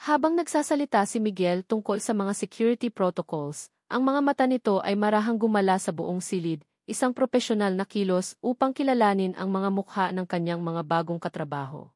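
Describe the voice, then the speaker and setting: A woman narrating a scripted romance drama in an expressive, melodramatic storytelling manner. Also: medium pitch, a little fast, dry recording, studio quality.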